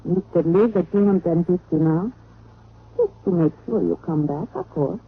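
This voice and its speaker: An actress playing a sultry, coolly flirtatious woman with a husky voice in a radio detective drama. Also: on the low side, animated, moderate pace, phone-quality sound. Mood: seductive. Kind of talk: old-time radio detective drama acting. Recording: phone-thin vintage radio recording.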